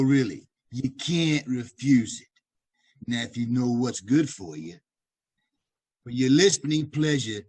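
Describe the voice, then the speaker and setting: A man with a husky voice announcing an old-time radio show to his listeners. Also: medium pitch.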